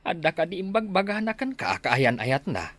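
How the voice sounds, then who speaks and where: A man's voice: on the high side, big pitch swings, moderate pace, fairly bright tone. An actor playing a practical husband steadying his worried wife, with an Ilocano Filipino accent, in a radio drama. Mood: firm reassuring.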